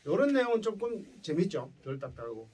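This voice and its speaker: Animated Gyeongsang man lecturing, husky voice. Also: sits high, a little fast, far from the mic. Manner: checks in with listeners using tag questions. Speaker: professor giving a lecture. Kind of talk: lecture to school students. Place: indoor lecture before students.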